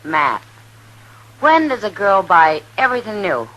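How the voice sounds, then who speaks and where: A woman's voice: medium pitch, very animated, unhurried, a bit bright. An American actress playing a worldly, loyal saloon woman in a 1950s radio Western, talking in a frank and familiar way. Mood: knowing, teasing. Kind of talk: 1950s radio drama acting. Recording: noisy vintage 1950s radio recording.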